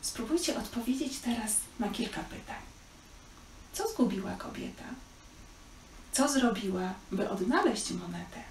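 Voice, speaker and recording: A woman in her thirties reads and explains scripture for children at a very measured pace. The room echoes and a faint noise sits behind her slightly distant voice. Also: mid-range voice, lively intonation, a little mellow. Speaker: children's Bible teacher. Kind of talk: scripture reading and teaching for children. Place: small living room, indoors.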